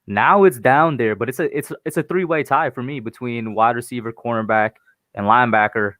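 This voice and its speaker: A man's voice: medium pitch, fairly quick, fairly bright tone, dry recording, clean studio sound. A man from Guyana talking casually as a panelist on a sports livestream.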